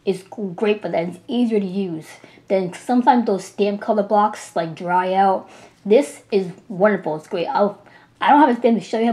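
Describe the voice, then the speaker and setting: A woman's voice: medium pitch, dark tone. Enthusiastic woman with a slight cold. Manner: enthusiastic, gushing, chatty with restarts. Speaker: art supply reviewer. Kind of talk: casual product demo. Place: small indoor room at home.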